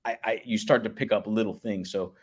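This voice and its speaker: A man in his thirties talks in a relaxed, easy way, and his voice has a big booming announcer quality. His voice sits fairly low. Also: a little fast. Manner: booming voice, slips into announcer calls. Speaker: public address announcer. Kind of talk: casual interview chat.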